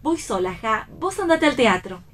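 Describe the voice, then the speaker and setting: A high, womanly voice for a stubborn pregnant young wife in a radio play. She is firm and insistent, downplaying her own discomfort. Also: very animated, normal speed. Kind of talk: read radio theatre.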